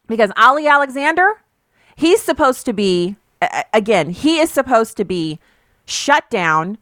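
A woman's voice: a little high, very animated, normal speed, fairly bright tone, dry recording, clean studio sound. Woman giving opinionated political commentary.

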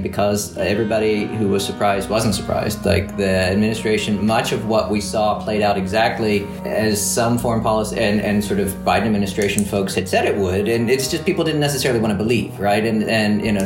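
Low-pitched male professor, discursive podcast guest, roomy echo with music under it. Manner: discursive, many restarts and asides. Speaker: professor of international relations. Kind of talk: podcast conversation.

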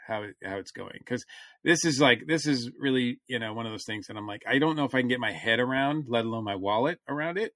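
Male podcast co-host, average pitch, dry close sound, casual fan chat with halting, searching phrasing. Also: fairly bright tone, no room sound. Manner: halting, searching for words mid-thought. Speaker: podcast co-host discussing science fiction. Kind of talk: casual, fannish panel chat.

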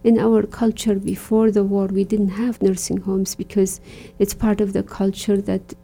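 A woman from Iraq, a religious sister, speaking earnestly and reflectively in an interview, often pausing with "you know". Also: medium pitch, flat delivery, creaky, mellow, breathy edge. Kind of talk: conversational interview.

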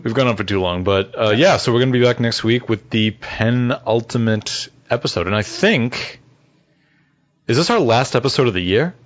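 A man's voice: mid-range voice, lively intonation, moderate pace. A man co-hosting a podcast, talking casually and earnestly as a fan and acting out bits of imagined dialogue. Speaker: podcast co-host. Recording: slightly dull, lo-fi audio.

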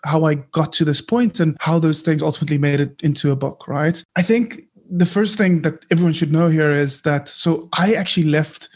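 A man's voice: fairly high pitch, fairly even intonation, normal speed, hardly any pauses, slightly rough. A South African man whose first language is Afrikaans, speaking as a podcast guest in an open, reflective way with many fillers. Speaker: guest entrepreneur. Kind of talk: podcast interview.

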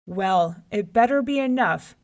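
A woman voicing a gruff, menacing old male lion from a storybook, who hollers and makes demands. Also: medium pitch, animated, unhurried. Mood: gruff, demanding. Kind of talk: storybook character voice.

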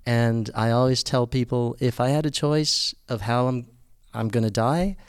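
Man, American accent, resonant clear voice, earnest, long explanations, laughs often. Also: mid-range voice, normal speed, no room sound, clean studio sound. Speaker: guest. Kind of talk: casual interview chat.

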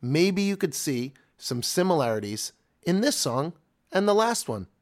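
A man's voice: a little high, sing-song, a little slow. A middle-aged man hosting a children's radio show, chatting casually and enthusiastically as he introduces songs. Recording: talk over loud background music. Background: loud music.

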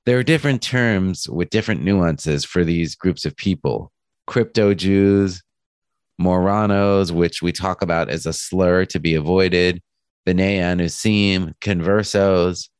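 Hoarse deep-voiced man hosting a podcast. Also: dry recording, studio quality. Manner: earnest, thoughtful, stops and restarts often. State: hoarse from a cold. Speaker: podcast host. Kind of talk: podcast introduction. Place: home studio.

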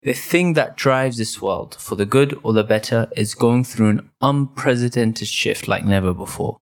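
A man's voice: mid-range voice, normal speed. A man hosting a podcast, reading a scripted intro and then moderating a live panel before an audience at an expo booth. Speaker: podcast host.

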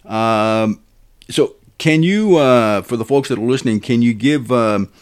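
A man's voice: mid-range voice, a little slow, clean tone. A man hosting a podcast, asking long, rambling questions full of fillers. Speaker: podcast host. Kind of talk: casual podcast interview.

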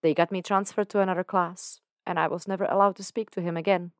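A woman's voice: lowish voice, flat delivery, quick pace, no room sound, clean studio sound, voice pushed up, close to an everyday voice. A woman voicing an emotional young man who talks in an earnest, candid way with casual slang. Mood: confessional. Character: young man, emotional and confessional, self-doubting.

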